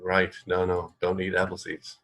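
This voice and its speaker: A man's voice: deep voice, completely flat delivery, normal speed, fairly bright tone. A man co-hosting a radio show, chatting casually about trivia in a hesitant, mildly wry way. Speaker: radio co-host. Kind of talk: casual chat, sharing trivia.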